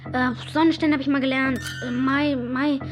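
A woman's voice: high-pitched, steady tone, normal speed, muffled recording, music in the background, natural voice. A woman voicing an eager young boy ghost hunter in a children's radio play. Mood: eager, thoughtful.

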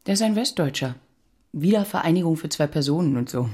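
A woman's voice: low-pitched, lively intonation, quick pace, darkish tone, slightly rough. An actress playing a forthright, insistent woman in a radio drama, speaking directly and urgently. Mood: matter-of-fact. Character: insistent, forthright woman challenging her former boyfriend.

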